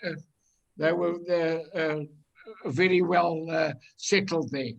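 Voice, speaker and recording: A man in his eighties with a South African accent, reminiscing casually in a halting way and repeating himself. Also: on the high side, fairly even intonation, gravelly. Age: 80s. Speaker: audience member on a virtual tour.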